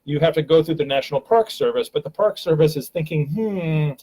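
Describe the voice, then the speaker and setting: A man's voice: fairly high pitch, brisk, dark tone, husky. A man hosting a live stream, talking casually and rambling with many fillers and restarts, from a home webcam.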